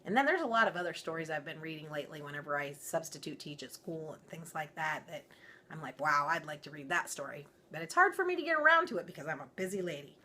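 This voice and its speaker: A woman's voice: sits low, big pitch swings, fast, bright, forceful tone, a little hiss or hum. A woman reading a children's story aloud expressively, with informal, playful asides.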